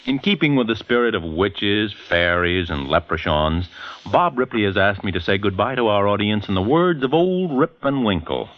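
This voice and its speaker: A man's voice: lowish voice, expressive, moderate pace, a bit bright, low-quality recording. A man hosting a 1940s radio show, narrating from a script in a dramatic, emphatic way.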